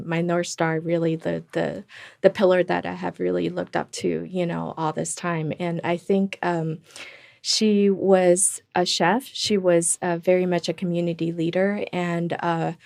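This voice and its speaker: Interview guest: middle-aged woman from Taiwan, low and gravelly, earnest with frequent fillers. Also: a little mellow. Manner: earnest, many fillers and restarts. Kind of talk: interview conversation.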